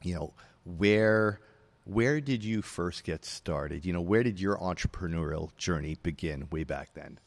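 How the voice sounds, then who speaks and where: Deep-voiced effusive podcast host. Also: male voice, unhurried. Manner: effusive, filler-heavy with "you know". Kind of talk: interview introduction.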